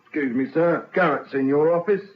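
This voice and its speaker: A man's voice: on the high side, a little fast, close to an everyday voice. An actor playing a dutiful prison officer in a radio drama, respectful to his superior but blunt and earnest. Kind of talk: old radio drama acting. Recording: dull, slightly noisy vintage radio recording.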